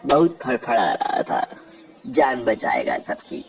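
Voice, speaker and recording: Animated fable crab, male actor: cheeky, shrewd, singsong taunts, widely varying pitch, distant noisy sound. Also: slow, over loud music. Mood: vengeful. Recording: distant voice with clear background noise.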